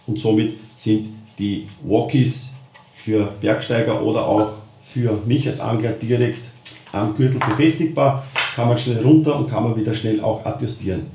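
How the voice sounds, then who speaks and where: A man hosting a casual product review, speaking deliberately with emphasis on key words. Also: mid-range voice, warm tone. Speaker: host of a fishing channel. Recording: roomy echo, distant microphone.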